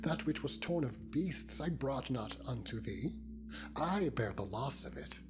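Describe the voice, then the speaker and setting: A man's voice: medium pitch, lively intonation, unhurried, voice pushed up, natural voice. A man voicing an aggrieved, earnest biblical patriarch in solemn, declamatory dramatized scripture. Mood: indignant. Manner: declamatory, archaic solemn phrasing. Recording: music bed behind the voice.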